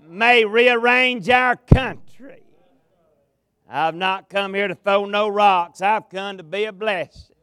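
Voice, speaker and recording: A man with a Southern US accent preaching a revival sermon in a folksy, drawn-out cadence, with some gravel in his voice, speaking into a pulpit mic in a large tabernacle. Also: high-pitched, pushed and bright, no echo, studio quality. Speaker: pastor, guest preacher. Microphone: amplified mic at a pulpit.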